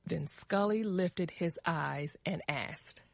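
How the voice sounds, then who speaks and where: Female story narrator, lowish register, measured pace, dramatic reading for English learners. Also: creaky, dry recording, studio quality.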